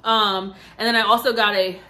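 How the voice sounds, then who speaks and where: A woman's voice: medium pitch, steady tone, normal speed, pushed and bright, low-quality recording. A woman in her twenties, talking in a loose, rambling way with plenty of "like" and "um". She sounds worn out, yet she keeps chatting on to her viewers as a vlogger. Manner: chatty, rambling, many fillers and asides. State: tired. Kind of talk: casual chat to camera.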